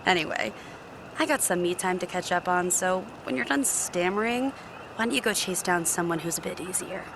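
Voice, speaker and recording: An actress playing a guarded, sarcastic genie bartender in a video game, blunt and casual. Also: female voice, fairly low pitch, normal speed, background noise, natural voice. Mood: sassy, blunt. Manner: blunt, dry, sassy. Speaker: video game romance character. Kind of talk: scripted game dialogue, casual.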